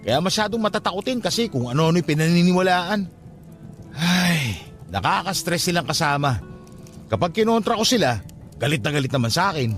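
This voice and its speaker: A man's voice: fairly high pitch, big pitch swings, brisk, a bit bright, soft background music. An actor playing a brash young man who teases and mocks his cousin in a radio drama. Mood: casual. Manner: teasing, brash, mocking. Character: cocky, skeptical young funeral-parlor worker teasing his cousin. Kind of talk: radio drama dialogue, casual banter.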